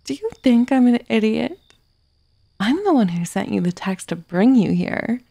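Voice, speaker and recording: A woman playing an obsessive, vengeful murderous writer in an ASMR roleplay, sardonic and menacing, slipping into breathy whispers. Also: a little high, very animated, moderate pace, warm tone, no echo, heavily acted. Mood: bitter, scornful. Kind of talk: ASMR roleplay, dramatic monologue.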